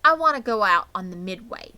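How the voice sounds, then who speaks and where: A woman voicing an excited, eager young farm girl in a storybook reading. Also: mid-range voice, brisk. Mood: pleading. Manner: exclaiming, eager.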